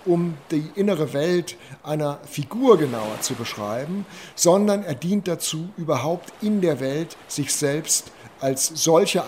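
A man in an interview, speaking reflectively and theorising deliberately in short phrases. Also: a little high, a little mellow, slight background noise.

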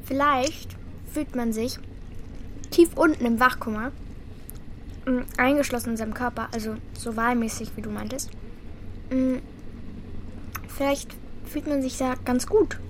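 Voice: sits high, a little slow, pauses often, a bit bright, a little hiss or hum, rough recording. A performer playing an outspoken, compassionate and combative young girl in a children's radio play, blunt and direct. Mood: musing.

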